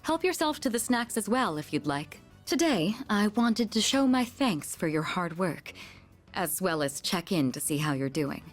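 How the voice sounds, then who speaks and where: A woman's voice: mid-range voice, very animated, normal speed, soft background music, close to an everyday voice. A woman voicing a refined, considerate young woman of authority in a video game, speaking formally and politely. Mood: gracious, composed. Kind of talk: English video game voice acting.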